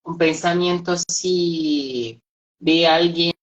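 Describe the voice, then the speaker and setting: A woman's voice: lowish voice, steady tone, slow, rough recording. A woman who teaches languages, talking casually as an interview guest.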